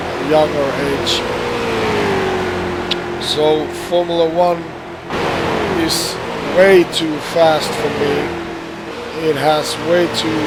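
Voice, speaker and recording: A man with a hoarse, flu-worn voice, sitting a little high in pitch. He drags his words out very slowly, pausing and restarting often as he narrates a racing game. A loud, steady hum of background noise sits under the whole recording. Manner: halting, many pauses and restarts. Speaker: sim racing gamer. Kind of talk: gameplay commentary.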